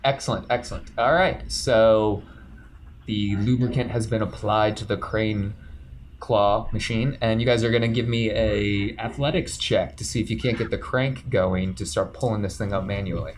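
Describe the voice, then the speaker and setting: Male GM, low voice, loose rambling narration, light background noise. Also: moderate pace. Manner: relaxed, rambling narration with fillers. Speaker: game master. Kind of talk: narrating a tabletop game.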